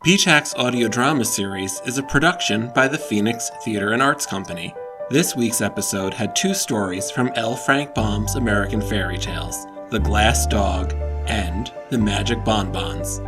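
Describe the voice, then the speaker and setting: A man's voice: fairly low pitch, moderate pace. A man reading a scripted announcement as the announcer of a series. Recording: music playing under the voice. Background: music.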